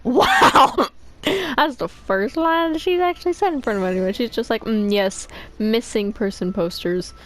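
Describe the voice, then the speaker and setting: A woman giving casual gameplay commentary in a slangy, playful, excitable manner. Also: a little high, a little slow, slight background noise. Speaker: gamer playing and reacting.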